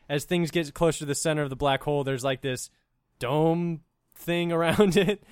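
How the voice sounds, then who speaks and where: A man's voice: fairly high pitch, clear, resonant tone, no echo, clean studio sound. A man hosting a casual group talk, blunt and sardonic, often swearing. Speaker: podcast host.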